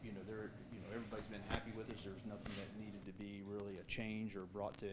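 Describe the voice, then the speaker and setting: A man from the fire service speaking quickly and reassuringly at a council meeting, with restarts, in a council chamber. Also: fairly low pitch, flat delivery. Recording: echoey, noisy meeting room.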